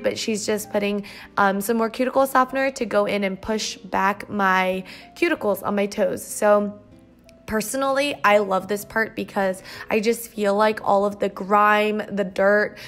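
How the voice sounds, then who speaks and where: A woman in her twenties chatting informally as a vlogger, casually narrating and peppering her sentences with "like". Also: mid-range voice. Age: 20s. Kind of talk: casual vlog chat and narration.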